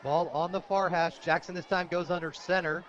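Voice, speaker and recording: An American man with a high voice announces a football game live on the radio. He works as the play-by-play caller, following the action as it happens. The broadcast sound is dull and lo-fi. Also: fairly even intonation, normal speed, a bit bright, a little hiss or hum. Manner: reads sponsor plugs between plays.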